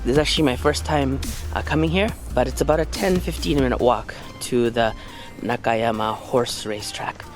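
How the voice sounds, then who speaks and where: A young man with a cold-roughened, hoarse voice narrates his own travel vlog in a relaxed, rambling way. His pitch sits mid-range, and background music plays clearly under his talk. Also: moderate pace. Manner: chatty, loose, many "like" and "um" fillers. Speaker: vlogger.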